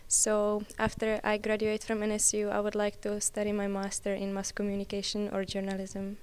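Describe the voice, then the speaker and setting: A woman's voice: medium pitch, monotone, normal speed, darkish tone, slight background noise. A woman in her early twenties with a Czech accent, a college tennis player answering interview questions in non-native speech. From Czech Republic. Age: early 20s.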